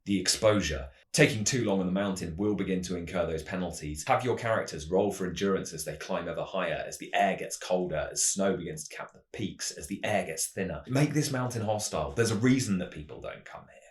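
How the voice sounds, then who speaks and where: Very deep-voiced man in a roomy space. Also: brisk, hardly any pauses. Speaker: host, game master giving adventure advice. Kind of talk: tutorial narration of a fantasy roleplaying scenario.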